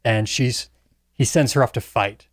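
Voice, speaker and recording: Male podcast guest-chair voice, average pitch, casual film talk, dry studio-like closeness. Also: measured pace, dry recording. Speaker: podcast panelist. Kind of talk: casual chat about movies.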